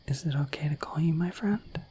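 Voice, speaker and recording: A woman voicing a shy, affectionate dorky dragon in an ASMR roleplay, speaking in a gentle, hesitant, breathy whisper. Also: deep voice, brisk, slight background noise, close to an everyday voice. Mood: shy, sincere. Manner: gentle, hesitant, slightly bashful, intimate.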